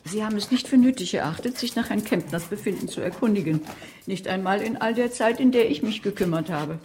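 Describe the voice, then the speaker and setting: An actress playing a wry, scheming female carer who narrates a radio crime drama in a dry, measured, sardonic way. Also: medium pitch, darkish tone, a little hiss or hum, lightly acted. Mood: coolly defiant. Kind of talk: radio play narration and dialogue.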